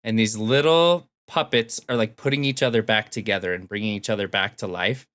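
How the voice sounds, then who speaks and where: A man's voice: lowish voice, brisk, fairly bright tone, clean tone. A man talking casually as a podcast host, recounting things in everyday conversational language. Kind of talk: casual storytelling chat about games.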